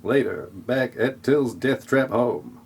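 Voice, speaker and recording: A man's voice: medium pitch, animated, slow, creaky, a little hiss or hum. A man narrating a scripted comic audio play in a mock-dramatic, tongue-in-cheek way.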